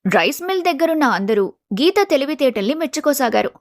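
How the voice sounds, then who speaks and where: Higher-pitched woman narrating a story. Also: very animated, fairly quick, no room sound, clean studio sound.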